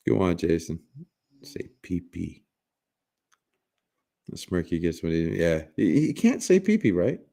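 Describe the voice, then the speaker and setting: A man with a raspy smoker's voice chats casually on a livestream, his tone low and gravelly with a creaky edge. He jokes with his viewers, stumbles over restarts and giggles between thoughts. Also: big pitch swings, slow, pauses often, mellow. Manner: joking, giggly, rambling with many restarts. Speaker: livestream host.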